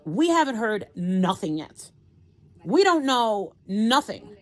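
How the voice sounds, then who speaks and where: A woman streamer commenting on the news in rambling, off-the-cuff talk, halting and repeating herself for emphasis. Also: medium pitch, sing-song.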